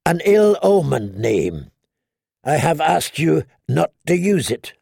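A man voicing a courteous male lord who speaks in a solemn, measured way. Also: on the high side, sing-song, gravelly, darkish tone, husky, no echo, studio quality, voice pushed up, heavily acted.

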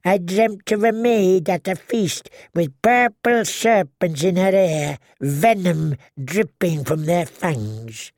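A man voicing an ancient, tiny dwarf woman seer who speaks slowly and eerily with a croaking, drawn-out voice. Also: high voice, animated, a little mellow, no room sound, clean studio sound, pitched above the performer's own voice, exaggerated voice. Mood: ominous. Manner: slow, wheedling, prophetic. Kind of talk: audiobook character voice.

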